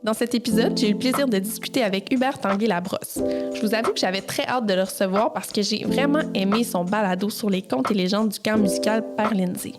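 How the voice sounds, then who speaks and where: A woman's voice: mid-range voice, fairly quick, over loud music. A woman with a Quebec French accent, hosting a podcast in a casual, conversational interview style.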